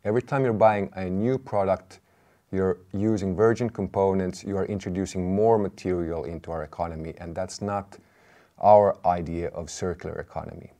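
A man in his thirties giving a measured, explanatory product presentation to camera. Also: sits low, fairly even intonation, mellow. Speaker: presenter, company representative. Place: indoor room with brick wall, seated at a table.

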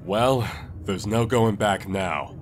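A man's voice: on the low side, takes it slowly, music in the background. A man voicing a brawny, hearty fighter in a comic dub, chummy and emphatic. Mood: urgent. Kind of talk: comic dub character acting.

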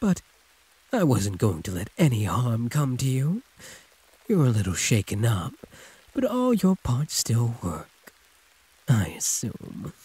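Man playing a very husky, possessive male werewolf. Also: medium pitch, huge pitch swings, slow, pauses often, dark tone, exaggerated voice, rough recording. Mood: urgent, protective. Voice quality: husky, breathy. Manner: drawling, teasing pet names, predatory purr. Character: possessive, growling male werewolf prisoner, huge and teasing. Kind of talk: intimate scripted audio roleplay.

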